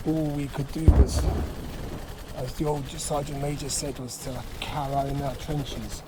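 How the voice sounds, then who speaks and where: A man playing a jovial Soviet soldier in an amateur war role-play, speaking in a theatrical, halting way. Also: medium pitch, flat delivery, natural voice. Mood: grim, dramatic. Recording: noisy recording with gunfire sounds.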